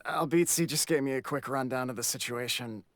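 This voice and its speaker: A woman playing a flustered, exasperated clan member in a game, with a halting delivery. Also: rumbling low. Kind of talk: scripted game voice acting. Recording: music under the voice.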